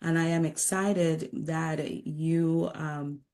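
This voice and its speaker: A woman hosting a podcast, reading a guest introduction and asking measured, encouraging questions. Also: deep voice, little pitch movement, warm tone. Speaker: podcast host.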